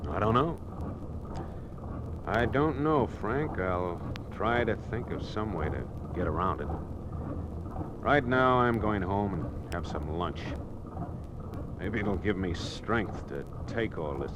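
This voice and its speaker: Worried American company boss, noisy recording. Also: male voice, fairly low pitch, lively intonation, slow, pauses often. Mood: troubled. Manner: firm, reasoning. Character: earnest, worried male oil company boss, middle-aged. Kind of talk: 1950s American radio drama acting. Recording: noisy old 1950s radio broadcast.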